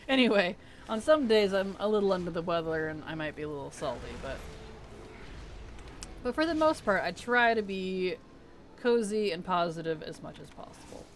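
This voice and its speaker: Live streamer, mid-pitched, strained and weak-voiced, chatty and soft-spoken, reads chat aloud. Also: lively intonation, normal speed, pauses often, slight background noise. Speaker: game streamer. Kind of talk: casual live-stream chat while playing.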